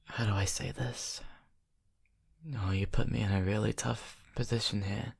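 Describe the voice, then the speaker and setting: A man's voice: fairly low pitch, fairly even intonation, normal speed, creaky, husky. A man playing a brooding young vampire confiding in his best friend in an ASMR roleplay, hesitant and intimate. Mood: hesitant confession.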